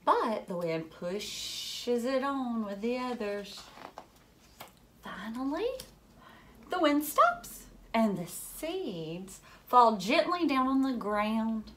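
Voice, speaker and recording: A woman in her thirties reading a storybook aloud to young children like a teacher, expressively, with questions and little asides. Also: a little high. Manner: expressive, drawn-out storybook delivery. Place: furnished living room at home, indoors.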